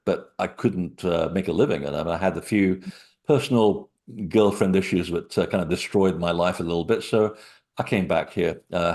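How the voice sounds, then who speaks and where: A man from Portugal, a guest author, telling digressive stories in an animated way from his small apartment living room over a computer call. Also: deep voice. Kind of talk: rambling storytelling interview.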